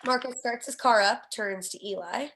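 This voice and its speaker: A woman's voice: on the high side, moderate pace, gravelly, a bit bright. A woman reading stage directions as scripted narration at a screenplay table read.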